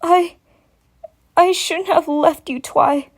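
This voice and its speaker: Woman voicing a high, whimpering baby boy dragon. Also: fairly even intonation, slow pace, exaggerated voice. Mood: guilt-ridden. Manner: whimpering, hesitant, pleading. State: crying, sobbing. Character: tearful, guilt-ridden baby boy dragon.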